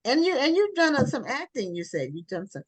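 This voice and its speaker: Lively higher-voiced woman host. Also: a little fast, breathy edge. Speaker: talk show host. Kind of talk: casual interview chat.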